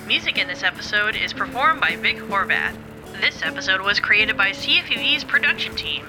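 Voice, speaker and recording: A woman's voice: medium pitch, animated, normal speed, rough recording. A woman reading scripted podcast credits as a producer and announcer. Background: music.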